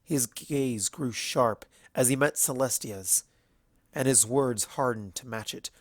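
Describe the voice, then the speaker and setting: A man's voice: mid-range voice, slow pace, no echo, clean studio sound. A man reading scripted fantasy fiction aloud as the narrator.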